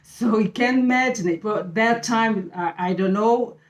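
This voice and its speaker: Brazilian lady talking about faith, slow, lots of ums and uhs, pretty roomy echo. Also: medium pitch. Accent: Brazilian Portuguese. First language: Portuguese. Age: middle-aged. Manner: halting, searching for words with many fillers. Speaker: channel host. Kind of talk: casual faith talk to camera. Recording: echoey room.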